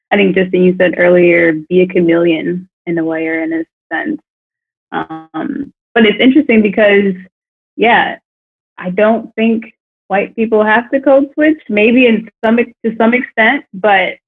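A woman talking thoughtfully on a podcast, with many pauses and fillers. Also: fairly low pitch. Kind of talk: casual chat. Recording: tinny phone-like line.

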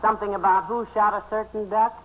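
A woman playing a soft-hearted, gently teasing wife with wry delivery in 1940s radio comedy. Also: medium pitch, flat delivery, fast talker, lightly acted. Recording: tinny noisy vintage radio recording.

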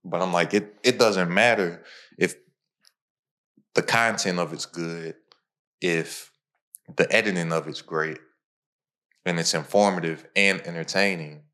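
A man hosting a podcast in an energetic, informal, motivational way. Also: a little slow, pauses often. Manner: energetic, informal, pitches his own program. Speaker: podcast host. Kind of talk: casual motivational podcast talk.

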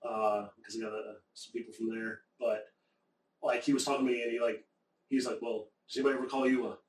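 A man from the American South talking in casual conversation, rambling and profane, often getting animated. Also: medium pitch, fast talker. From American South, Appalachia. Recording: echoey room, distant mic.

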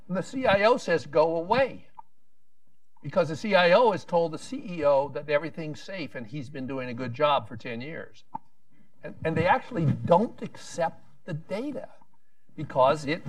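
A man's voice: mid-range voice, no echo, far from the mic. Rambling man on a policy panel. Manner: rambling, restarts often, wry asides.

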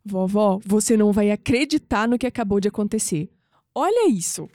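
A woman playing a young, clumsy, curious granddaughter in an audio drama, expressive, with a Brazilian accent. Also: medium pitch, moderate pace, big-room sound, slight background noise, natural voice. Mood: excited, curious. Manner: expressive, musing aloud to herself.

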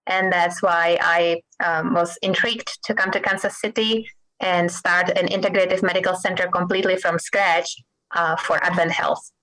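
A middle-aged woman from the Czech Republic with a Czech accent, a guest physician in an interview, talking thoughtfully and pausing to search for words. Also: fairly low pitch, steady tone, sharp tone, rough recording. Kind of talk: interview conversation.

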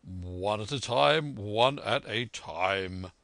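A man voicing a calm, measured, dryly authoritative gentleman doctor in a storybook reading, composed and deliberate. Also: fairly low pitch, no room sound, full character voice. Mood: amused, calm. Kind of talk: audiobook character voice.

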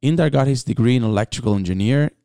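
A man's voice: medium pitch, fast talker, mellow, clean tone, dry recording, clean studio sound. A man hosting a podcast interview, asking questions with many hesitant restarts. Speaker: podcast host.

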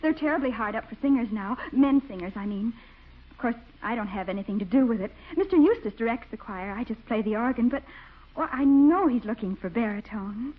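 A sweet, polite young organist. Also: female voice, a little high, animated, a little fast. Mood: earnest. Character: gentle prim young woman church organist. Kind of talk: 1940s radio comedy acting. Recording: tinny old 1940s radio recording.

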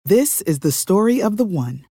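A commercial announcer narrates a scripted advertisement in a middle-pitched voice. The read is prepared narration, told like a short story with a tagline. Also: very animated, normal speed, mellow, husky, dry recording, studio quality.

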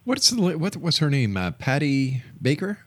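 A man's voice: fairly high pitch, very animated, normal speed, a bit bright, no room sound. Male radio host, dry studio sound. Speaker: radio talk show host. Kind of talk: radio interviewing and announcing. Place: broadcast studio.

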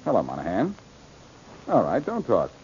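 An actor playing a cool, wisecracking American amateur detective in a 1940s radio drama, wry and self-assured. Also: male voice, lowish voice, wildly swooping pitch, normal speed, darkish tone. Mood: mocking. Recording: noisy, muffled old radio recording.

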